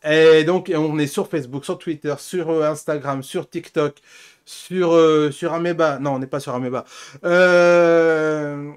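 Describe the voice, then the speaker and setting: A man's voice: on the high side, steady tone, measured pace, clean tone. A man from the south of France, hosting a web show in a casual, hesitant, rambling and jokey way. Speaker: host of a pop-culture web show. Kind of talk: casual chat.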